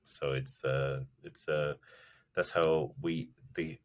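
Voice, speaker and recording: A man in his forties, from Russia, talking as a company chief in a casual interview, halting with many restarts and fillers. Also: a bass voice, steady tone. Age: 40s. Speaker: company CEO guest.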